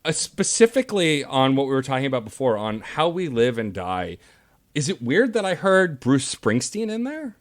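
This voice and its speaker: A man's voice: medium pitch, very animated, moderate pace. A man hosting a podcast, asking his guest questions in an easygoing, chatty way. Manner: laid-back, interviewer asking questions. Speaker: podcast host. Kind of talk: casual podcast interview.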